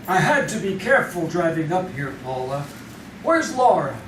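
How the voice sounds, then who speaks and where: An actor playing an anxious, scheming husband in an old-time radio style drama. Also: male voice, sits high, big pitch swings, moderate pace. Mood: guarded, smug. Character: anxious scheming husband, embezzler balking at murder. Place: live theater stage. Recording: distant echoey stage recording, noisy.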